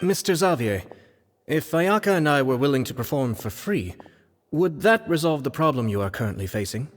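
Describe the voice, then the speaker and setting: A man's voice: on the high side, big pitch swings, moderate pace. An actor playing a composed, polite young nobleman diplomat speaking formally in game dialogue. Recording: reverberant with music behind.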